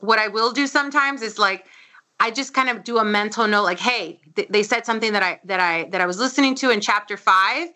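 A woman's voice: medium pitch, a little fast, bright, forceful tone. A woman whose first language is Spanish, chatting casually as a guest, laughing often and filling her talk with "like". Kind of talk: casual conversation.

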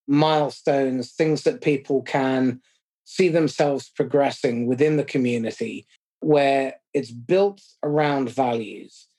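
A middle-aged man hosting a podcast, summing up points in a measured, self-deprecating way. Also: medium pitch, darkish tone. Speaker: podcast host. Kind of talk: conversational podcast interview.

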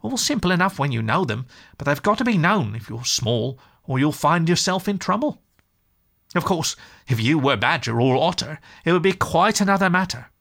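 A man voicing a kindly, brisk and plucky male water rat in a storybook, chatty and reassuring. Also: fairly high pitch, big pitch swings, creaky, a bit bright, voice pushed up, heavily acted. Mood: gently scolding. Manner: chatty, reassuring, old-fashioned British turns of phrase. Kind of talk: storybook character voice.

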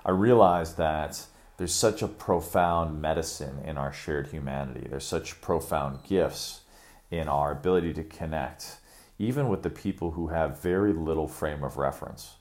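A young man from Chicago hosting a podcast, talking through a reflective monologue in an earnest, measured way with frequent fillers and restarts. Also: extremely low pitch, darkish tone. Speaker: podcast host.

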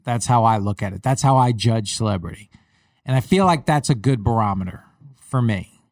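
A man hosting a casual sports podcast, with a faint Long Island accent and a relaxed way of talking that repeats words. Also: lowish voice, normal speed, no room sound, studio quality. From Long Island, New York. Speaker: podcast host. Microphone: studio mic.

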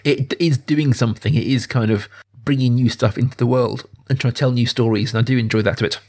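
A man's voice: medium pitch, fairly even intonation, quick pace, a little mellow. A man co-hosting a casual film podcast, talking in a conversational, self-deprecating way. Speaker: podcast co-host.

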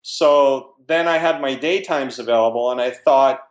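A man's voice: medium pitch, moderate pace, echoey room. A man, a real estate investor, talking casually in an interview and telling stories with frequent restarts. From New Jersey.

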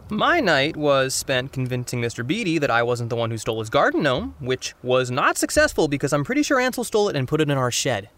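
Sardonic teenage boy, swooping pitch. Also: very animated, a little fast, hardly any pauses, a bit bright. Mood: wry, grumbling. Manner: sardonic, sighing, exaggerating. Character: wry, sarcastic teenage boy next door, grumbling and cynical. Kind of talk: naturalistic audio drama acting.

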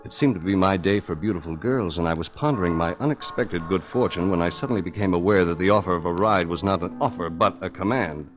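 A low male voice over prominent music in a 1940s radio drama. An actor plays a suave hard-boiled investigator who is wry and urbane, delivering dry wisecracks and polished narration. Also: fast, few pauses, muffled recording, natural voice.

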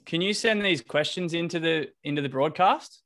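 A man facilitating an online group session over a video call, relaxed and coordinating the group. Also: on the high side, moderate pace. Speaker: workshop facilitator.

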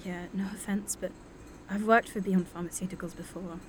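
A woman's voice: medium pitch, steady tone, normal speed, a little hiss or hum, close to an everyday voice. An actress playing a guarded, sarcastic woman scientist in an audio drama, speaking in a dry, blunt way. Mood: wary. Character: jaded, sarcastic, guarded female scientist, quick to bristle.

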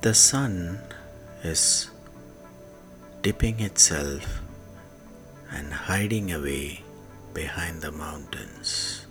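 A man guiding a sleep meditation in a hushed manner, leaving long pauses and letting phrase endings fade into breath. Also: extremely low pitch. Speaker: meditation guide. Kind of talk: slow guided sleep meditation. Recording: soft music behind the voice. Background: soft music.

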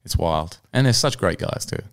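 Low, smooth male musician chatting. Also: animated, normal speed. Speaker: singer-songwriter, podcast guest. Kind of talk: casual interview chat, anecdotes.

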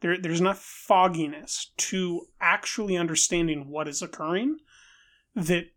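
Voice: mid-range voice, a little slow, a bit bright. A film podcast co-host in an analytical discussion, building wordy arguments with hesitant, repeated words.

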